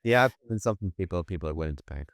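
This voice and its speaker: A man with a very low, clean and resonant voice answers questions as an executive guest. His delivery is conversational, with stammered starts and repeated words. The recording is very dry, with no room sound. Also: lively intonation, racing, no room sound.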